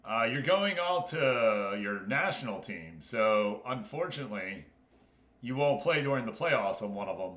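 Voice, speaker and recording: A man's voice: mid-range voice, lively intonation, measured pace, fairly bright tone. A man hosting a casual game livestream, talking informally and directly to his viewers. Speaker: streamer and host. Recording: roomy, echoing room sound.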